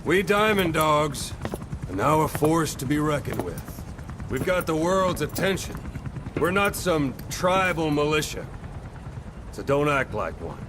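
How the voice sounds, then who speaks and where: An actor playing a commanding, gruff soldier and drill instructor in a video game cutscene, lecturing in a stern, theatrical way. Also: male voice, fairly high pitch, big pitch swings, measured pace, background noise. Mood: stern, rallying.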